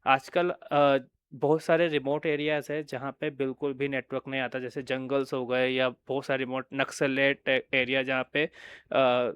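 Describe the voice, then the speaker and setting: A man's voice: mid-range voice, level tone, moderate pace, fairly bright tone, smooth, no echo, muffled recording. A man with an Indian accent giving an informal product walkthrough, explaining and often checking in with listeners. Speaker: product presenter.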